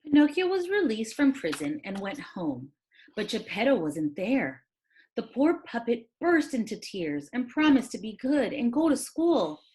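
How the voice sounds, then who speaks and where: A woman reads a children's story aloud in the manner of a teacher. Her voice sits slightly high and swings widely in pitch. The delivery is expressive and dramatic, made for young listeners. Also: poor audio. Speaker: teacher reading aloud to pupils.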